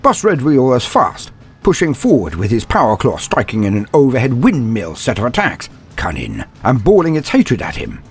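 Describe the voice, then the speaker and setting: Man, husky voice, mock-epic storyteller, animated delivery, faint music behind. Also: mid-range voice. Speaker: narrator. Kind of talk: comedic fantasy story narration.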